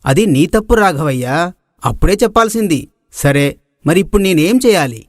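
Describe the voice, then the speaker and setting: A man's voice: on the high side, expressive, moderate pace, no room sound, clean studio sound. A man playing a haughty village moneylender and landlord with a condescending manner. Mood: mocking, dismissive.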